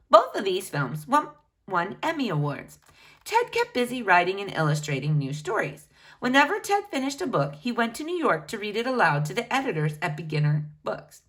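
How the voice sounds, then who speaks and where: A woman's voice: mid-range voice, fairly bright tone. A woman reading a children's biography book aloud, speaking to children in a teacherly way with pointed emphasis. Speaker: read-aloud storyteller for children.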